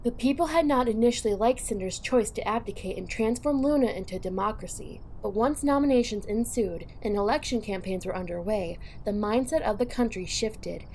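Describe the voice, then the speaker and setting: A woman narrates an audio drama from a script. Her mid-pitched voice carries noticeable creak and fry, with faint noise behind it. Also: brisk, hardly any pauses, darkish tone.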